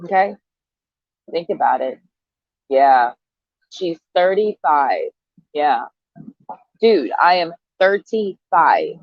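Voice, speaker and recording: A woman in her thirties talks straight to camera, brash, profane and sarcastic, laughing between her lines. The sound is dry and close, a little dull in the highs. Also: no echo. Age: 30s. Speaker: vlogger. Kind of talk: casual monologue to camera.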